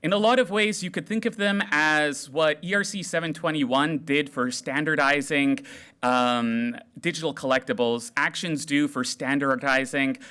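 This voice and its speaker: A man giving an energetic, jokey live tech talk to a hackathon crowd on a stage microphone. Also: on the high side, normal speed, clean tone. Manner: loud, animated, jokey with the crowd. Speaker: developer relations speaker. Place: large room at a hackathon event.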